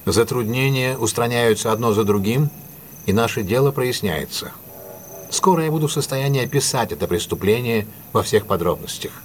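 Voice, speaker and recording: An actor playing a confident, courteous male detective in an audio drama, speaking in a measured, deliberate and formally polite way. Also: medium pitch, expressive, a little hiss or hum, lightly acted. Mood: composed, confident. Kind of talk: audio drama acting, classic detective story.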